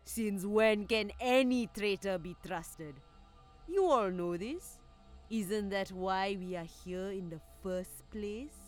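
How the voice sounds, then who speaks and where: A zealous cult apostle, played by a woman, advises warlords in an audio drama. She speaks slowly and imperiously, with measured scorn, over faint music. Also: mid-range voice, close to an everyday voice. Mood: scornful, persuasive. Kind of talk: scripted audio drama acting.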